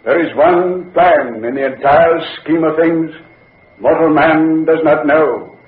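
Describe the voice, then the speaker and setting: An actor playing a vengeful old man risen from the grave, measured and coldly gloating, in 1940s radio horror style. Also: a little high. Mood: grim vengeance. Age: older. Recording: echoey, tinny vintage radio recording.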